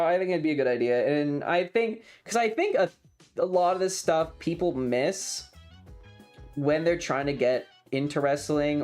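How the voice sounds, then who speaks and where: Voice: mid-range voice, a little mellow. A streamer chatting casually and enthusiastically in a rambling, colloquial way, like a fan talking to friends. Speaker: streamer and content creator.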